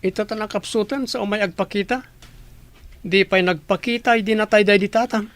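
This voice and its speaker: A woman's voice: mid-range voice, fairly even intonation, moderate pace, no echo, lightly acted. A woman playing a resentful, unforgiving younger sister in a radio drama, with a bitter, challenging manner.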